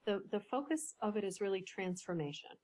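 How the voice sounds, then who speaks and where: A woman coach, a podcast guest, explaining ideas in a measured way with some fillers. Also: medium pitch, animated, a little mellow. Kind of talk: podcast interview.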